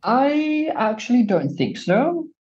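A man's voice: very high voice, very animated, slow, darkish tone, muffled recording, slight background noise. A man from Malaysia whose native language is Malay, answering interview questions thoughtfully, with many fillers and self-corrections.

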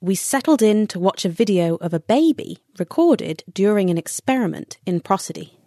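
A woman producer presenting a science podcast in clear, scripted explanatory narration. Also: mid-range voice, lively intonation, moderate pace, warm tone, dry recording, studio quality. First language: English.

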